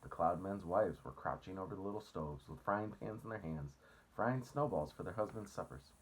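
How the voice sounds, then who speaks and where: A man with a deep voice reads a children's story aloud. His tone is husky, and his delivery is that of an expressive storyteller. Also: normal speed, low-quality recording.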